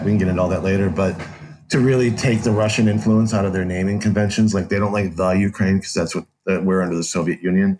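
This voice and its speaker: A man's voice: sits low, a little mellow. A middle-aged American man telling a personal story conversationally as an interview guest, candid and wry. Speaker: podcast guest, author.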